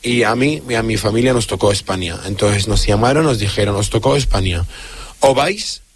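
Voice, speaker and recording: A young man from Syria, a radio interview guest telling his own story in an earnest, halting way with many fillers and restarts. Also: fairly low pitch, slightly rough.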